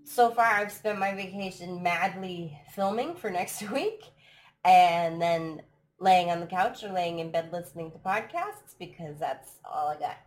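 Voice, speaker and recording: A woman hosting a casual live stream, chatty and laughing often, her voice a little throaty from allergies. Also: lowish voice. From United States. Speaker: live stream podcast host. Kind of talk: casual chat. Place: at home abroad, live stream.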